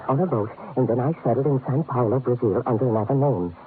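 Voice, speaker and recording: A man's voice: medium pitch, quick pace, close to an everyday voice. An actor playing an anxious, rueful, mild husband of fifty in an old radio drama, hesitant and apologetic, repeating his words. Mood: rueful, wistful. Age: 50s. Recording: tinny, noisy old radio broadcast.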